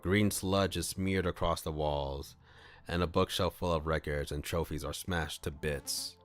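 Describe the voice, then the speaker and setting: Very deep-voiced man narrating a visual novel. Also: steady tone, a little slow. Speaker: streamer reading a visual novel aloud. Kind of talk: livestream narration of a visual novel with casual asides.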